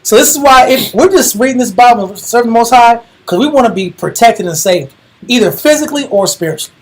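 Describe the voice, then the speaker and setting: A man with an American accent and a husky voice, teaching religion in a colloquial, emphatic way, repeating phrases for emphasis. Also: extremely high pitch, expressive, fast talker. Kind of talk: informal sermon discussion.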